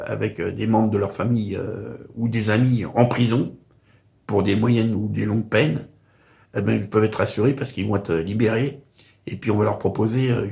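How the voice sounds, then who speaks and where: A man's voice: lowish voice, creaky. An older man from Paris, talking to camera in a casual, rambling monologue full of hesitant fillers. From Paris, France. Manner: hesitant, frequent "euh" and "hein" fillers. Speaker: video blogger. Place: home in the countryside.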